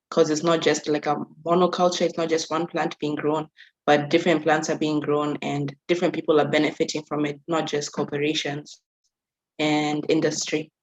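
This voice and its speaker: A woman's voice: low voice, highs cut off. A woman from Kenya, a youth workshop facilitator, speaking on a panel discussion, laughing often and restarting her sentences.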